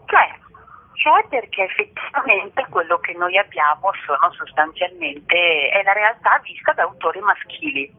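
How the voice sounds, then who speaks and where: Through a narrow, tinny telephone line comes a woman with a low, husky voice. She explains her ideas reflectively and didactically, as an articulate guest in a radio interview. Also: very animated, measured pace. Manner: articulate, didactic, uses rhetorical tag questions.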